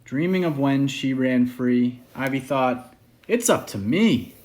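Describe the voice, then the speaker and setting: A man with a medium-pitched voice reading a children's picture book aloud. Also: slow, a little mellow. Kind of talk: read-aloud children's story.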